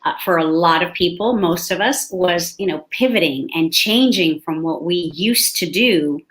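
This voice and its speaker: A woman hosting an interview, asking questions and often restarting her phrases. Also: fairly low pitch. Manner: restarts phrases, speeds up and slows down. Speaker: host.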